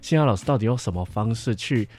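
A man's voice: lowish voice, animated, quick pace, darkish tone, husky. A young man with a Taiwanese Mandarin accent co-hosts a casual podcast, explaining and chatting. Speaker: podcast co-host and camp counselor.

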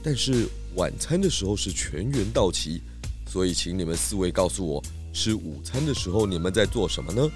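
Low-voiced confident pig detective. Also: male voice, animated, normal speed, faint music behind. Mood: serious, deducing. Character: confident male pig detective leading investigations. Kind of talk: children's audio drama.

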